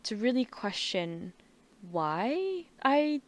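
A woman's voice: fairly high pitch, sing-song, extremely slow. Candid woman, casual chat. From Canada. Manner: candid, frank, uses filler like. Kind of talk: casual conversation.

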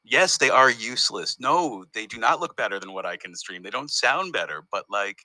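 Brief: low, bright-toned male comedian, meandering and chatty, dry close sound. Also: animated, normal speed, no echo. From United States. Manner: rambling, self-interrupting storyteller. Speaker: comedian guest. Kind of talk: casual interview conversation.

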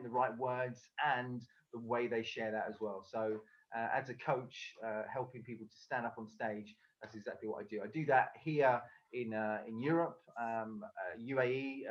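Hesitant English man on a dull video call. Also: medium pitch. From England. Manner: hesitant, many fillers and restarts. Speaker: guest, speaker coach. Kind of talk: casual conversational interview.

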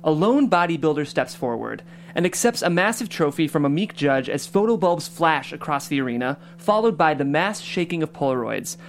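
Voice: mid-range voice, very animated, a little fast, a little hiss or hum. A podcast host welcoming listeners and reading scene directions in a chatty, upbeat way. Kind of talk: hosting a table read and reading stage directions.

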